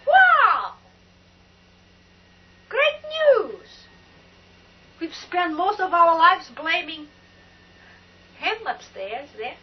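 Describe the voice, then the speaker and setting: A woman's voice: very high voice, highs cut off, a little hiss or hum. An older woman from Portugal with a Portuguese accent, hosting a spiritual talk in an emphatic, exclamatory way. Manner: emphatic and exclamatory, drawn-out words. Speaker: spiritual talk show host.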